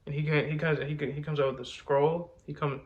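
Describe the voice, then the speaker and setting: A man's voice: on the high side, flat delivery, a little fast, lo-fi sound. A man with a congested voice, talking to camera in a laid-back, slangy, rambling way. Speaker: rapper and video host. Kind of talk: casual talk to camera.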